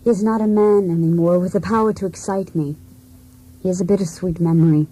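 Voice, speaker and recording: An actress playing a cold, disillusioned wife set on breaking free, in a deliberate, introspective radio drama monologue. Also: female voice, lowish voice, steady tone, warm tone, lightly acted, rough recording. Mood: mournful.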